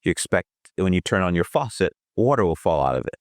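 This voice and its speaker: A man on a roundtable panel, explaining in a measured way and listing his points. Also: low-pitched, big pitch swings, no room sound, studio quality. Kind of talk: roundtable discussion.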